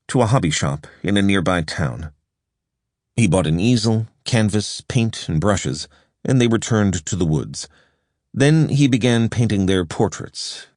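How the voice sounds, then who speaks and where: A man narrating a nonfiction audiobook in a measured, even storytelling delivery, recorded close in a studio. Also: deep voice, no room sound. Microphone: close studio microphone.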